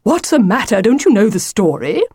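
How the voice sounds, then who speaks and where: A woman's voice: medium pitch, sing-song, moderate pace, dark tone, breathy edge, no room sound, clean studio sound, pitched above the performer's own voice. A woman voicing a pompous, insistent male storybook wolf with a grandiose, wheedling, theatrical manner. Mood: menacing, exasperated. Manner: grandiose, emphatic, wheedling old-fashioned phrasing.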